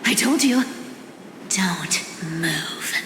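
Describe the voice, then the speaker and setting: A woman playing a sly, teasing young treasure hunter in a video game, theatrical, with hushed asides. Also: medium pitch, lively intonation, extremely slow, noisy background. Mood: wary. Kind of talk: video game character acting. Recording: echoey, reverberant game audio with music.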